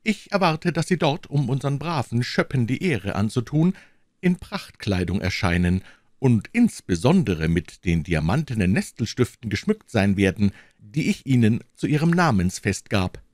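A man's voice: medium pitch, sing-song, moderate pace, a little mellow, no room sound, studio quality, voice pushed up, natural voice. A man voicing a cold, haughty king who speaks with stately command in an audiobook reading. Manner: stately, commanding, coldly taunting. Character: cold, cruel, haughty middle-aged king.

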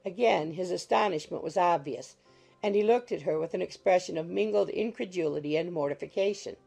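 A woman reads literary narration in a low, mellow voice. It is heavily creaky and husky. Faint music runs behind the reading. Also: fairly quick, poor audio. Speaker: audiobook narrator.

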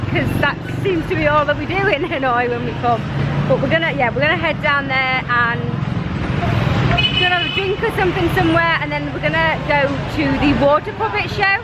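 A woman's voice: sits high, brisk, over a noisy crowd. A woman in her thirties with an English accent, chatting casually and a bit meanderingly to a handheld camera as a travel vlogger. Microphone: camera held at arm's length. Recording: noisy vlog audio with traffic and ambience.

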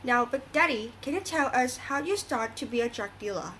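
Woman as female radio host, high-ish pitch, scripted, earnest, moralizing, some background noise. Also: animated, normal speed, fairly bright tone.

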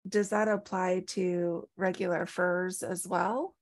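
A woman's voice: on the low side, fairly even intonation, takes it slowly. A woman hosting a webinar on a video call, reading out questions and moderating. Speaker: webinar host.

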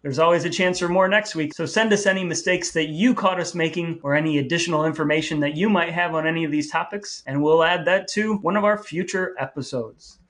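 A man's voice: fairly high pitch, a little fast, hardly any pauses, fairly bright tone. A man co-hosting a podcast in a chatty style, with a wry, mock-formal manner. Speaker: podcast co-host.

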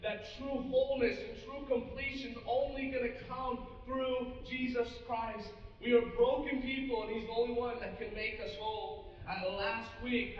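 A middle-aged male pastor preaching to a full church, with a fairly high voice. He speaks earnestly, turns to the congregation with questions, and often restarts his phrases. The sound is distant and muffled, and it rings through a large echoey hall. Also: slight background noise. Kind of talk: sermon.

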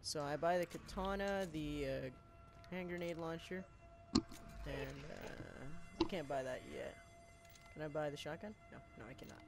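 A man's voice: on the high side, a little slow, over music. A young man chatting casually with friends as he plays a game, swearing and using slang. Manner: profane, slangy. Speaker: gamer playing with friends. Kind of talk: casual gaming chat.

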